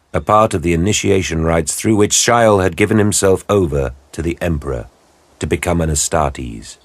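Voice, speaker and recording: A man narrating an audio drama in a deep, husky voice with grave, deliberate storytelling. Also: dry recording.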